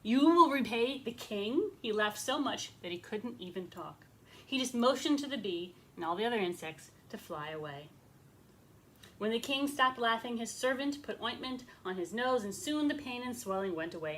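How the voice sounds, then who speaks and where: A woman's voice: a little high, expressive, moderate pace, bright, forceful tone, rough recording. A woman leading a children's story time, narrating expressively and chatting with young listeners in simple words. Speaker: rabbi telling stories to children.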